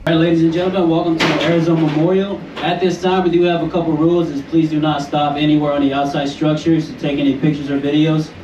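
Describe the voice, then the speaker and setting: Crew member, man, mellow voice, heard from afar with echo, polite announcements. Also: on the high side, level tone, fast, few pauses, muffled recording, a little hiss or hum. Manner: polite, rule-stating address to a group. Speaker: navy boat crew giving visitor rules. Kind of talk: public announcement to visitors. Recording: distant, echoey, with ambience.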